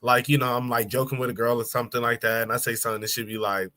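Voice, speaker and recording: A young man with a lisp, co-hosting a podcast and telling stories in an animated, slangy way. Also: medium pitch, very fast. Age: young adult. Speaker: podcast co-host. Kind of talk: casual chat.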